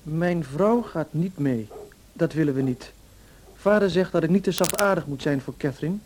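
An actor playing a weak, selfish young husband in a radio play, whining and self-pitying. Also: male voice, a little high, normal speed, a little mellow, a little hiss or hum, natural voice, low-quality recording. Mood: petulant.

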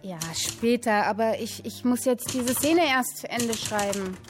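A woman's voice: on the high side, a little slow, over music, close to an everyday voice. An actress playing an earnest young woman writer, speaking in a dramatic, literary radio drama style. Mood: preoccupied. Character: earnest young woman writing and reading her story.

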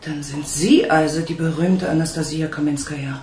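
An actress playing a brisk, teasing female masseuse in a radio play. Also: low-pitched, steady tone, a little mellow, roomy sound, a little hiss or hum, natural voice. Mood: curious, teasing.

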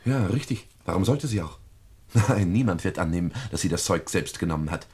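Radio-drama suspect: lowish husky voice, defensive, uneasy, evasive. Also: male voice, animated, a little fast, a little mellow. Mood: tense, insistent. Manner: hedging, uneasy, insistent. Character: evasive, defensive man under suspicion of murder. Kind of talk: German radio play acting.

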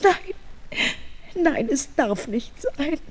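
A woman's voice: high voice, big pitch swings, very slow, darkish tone, exaggerated voice. An actress playing a grieving, pleading queen and mother, lamenting theatrically in a 1970s fairy-tale radio play. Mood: despairing.

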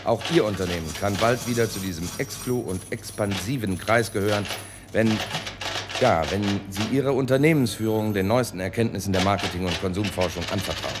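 A man playing a slick, self-assured advertising consultant in a radio drama, pitching in clipped slogans. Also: brisk, noisy background, lightly acted. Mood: pompous, persuasive. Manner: salesman's pitch in clipped slogans with a pet filler phrase. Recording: music under the voice.

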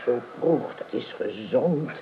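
An actress playing a stout, middle-aged housekeeper in a Dutch radio play, down-to-earth, dry and gently scolding. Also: female voice, very deep voice, animated, slow pace, exaggerated voice. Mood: matter-of-fact. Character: stout, matter-of-fact, motherly female housekeeper, gently scolding. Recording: narrow, hissy old radio recording.

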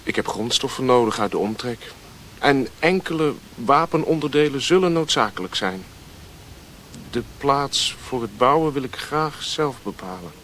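A man's voice: mid-range voice, animated, moderate pace, a bit bright, a little hiss or hum, natural voice. A man playing a guarded, disillusioned Western radio operator in a radio play, restrained and serious. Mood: resolute.

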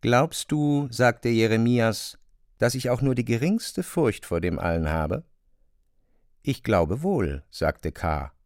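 Lively, low-voiced man narrator. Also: takes it slowly, no echo, studio quality. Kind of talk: scripted literary narration.

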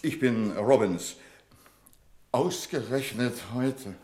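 An actor playing a pompous, sarcastic office boss in a German radio drama, with an authoritative, sardonic manner. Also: male voice, medium pitch, takes it slowly, mellow, natural voice. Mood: harried.

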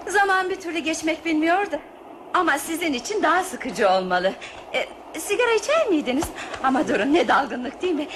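An actress playing a quick-witted, protective female train passenger in a radio play, resolute and formal. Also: sits high, big pitch swings, fairly bright tone, slight background noise. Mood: nervously polite. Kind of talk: Turkish radio theatre acting.

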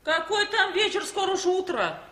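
A woman's voice: extremely high pitch, lively intonation, normal speed, bright, forceful tone, heavily acted. An actress in a 1960s Soviet radio play as a weary female night traveller. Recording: noisy, clicking vintage radio recording.

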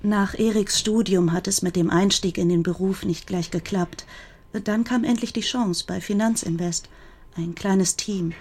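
A woman playing a grieving, protective older sister who gives an earnest, confiding statement in a German radio crime drama, with a husky voice. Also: mid-range voice, steady tone, a little slow, a little mellow. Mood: earnest, recounting. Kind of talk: German radio play acting, recounting testimony.

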